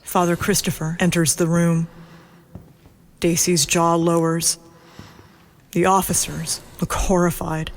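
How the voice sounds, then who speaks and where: A woman narrating a scripted horror audio drama in measured, short present-tense statements. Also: fairly low pitch, little pitch movement, a little hiss or hum. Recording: loud music under the voice. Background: loud music.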